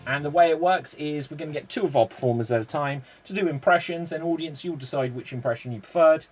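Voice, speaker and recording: A man hosting a live-streamed improv show, explaining the games in a brisk, chatty way. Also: on the high side, darkish tone, faint music behind.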